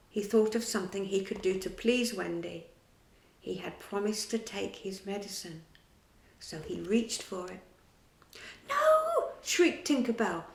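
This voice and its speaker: A woman's voice: mid-range voice, creaky. A woman reading a children's story aloud with a dramatic delivery that drops to whispers. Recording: echoey room.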